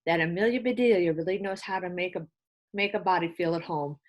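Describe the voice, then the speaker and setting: A woman's voice: lowish voice, a little fast, natural voice. Old great-aunt played by a woman reader, storybook style, hearty, plain-spoken, folksy delivery. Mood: approving. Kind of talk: children's storybook read-aloud.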